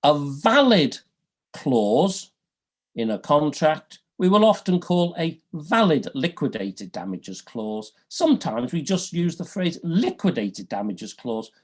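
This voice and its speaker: Liverpool-accent law prof lecturing slow, voice goes up and down a lot, dry jokes. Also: male voice, a little high, sing-song. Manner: dry humour, deliberate, rises and falls a lot. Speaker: law professor. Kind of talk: academic lecture.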